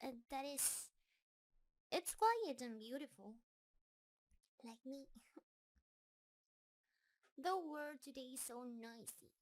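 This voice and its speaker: A woman's voice: high voice, expressive, takes it slowly, long pauses. A woman playing a shy, sweet, lovestruck spider monster in an intimate roleplay audio, nervous and hesitant in her delivery. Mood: tender, soft. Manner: nervous, hesitant, stammering, gushing.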